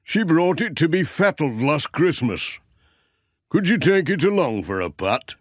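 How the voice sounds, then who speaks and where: A man puts on a friendly village handyman for a children's storybook. He is folksy and easygoing, with a slightly raised, swinging pitch and a close, dry sound. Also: very animated, a little slow, no room sound. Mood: helpful, easygoing. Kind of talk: children's storybook character dialogue.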